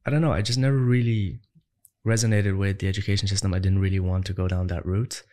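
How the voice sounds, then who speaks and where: A young man from Ireland with a lowish voice talks as an interview guest. He is conversational and emphatic, and he stacks up rhetorical questions with growing force. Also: flat delivery, quick pace, mellow. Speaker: entrepreneur guest.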